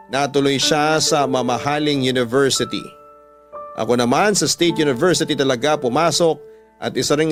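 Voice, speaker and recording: A man with a Filipino accent narrating a listener's letter in the first person as a radio storytelling host. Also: mid-range voice. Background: music.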